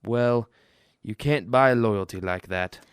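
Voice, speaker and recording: A man's voice: fairly low pitch, slow pace, smooth, no echo. An actor playing a proud, understanding older father in an old-time radio drama. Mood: probing, earnest.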